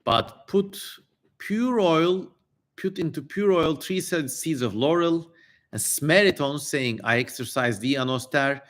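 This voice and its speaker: A man with a slightly high voice reads aloud at a slow pace. He delivers strange names and incantations theatrically, then drops into casual, irreverent commentary with some swearing. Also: animated, fairly bright tone. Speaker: streamer reading an ancient text aloud.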